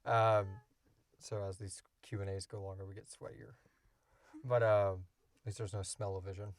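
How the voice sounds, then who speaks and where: Podcast host guy, lowish voice with lots of fry, casual chat, dry booth sound. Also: little pitch movement, normal speed, no echo. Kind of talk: casual unscripted Q&A talk. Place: small enclosed recording booth.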